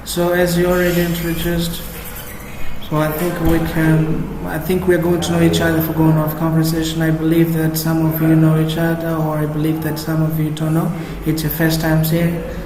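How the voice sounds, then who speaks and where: A man moderates a group discussion in a fairly high but flat voice. He often stops and rewords his questions mid-sentence. The room is echoey, and clear background noise runs under his speech. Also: normal speed.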